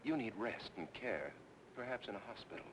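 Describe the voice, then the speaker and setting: An actor playing a rational, concerned suitor, firm and earnest, in an old film noir. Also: male voice, fairly low pitch, lively intonation, a little fast, background noise, natural voice. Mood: concerned, insistent. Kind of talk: 1940s film noir acting. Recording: old film soundtrack with music behind.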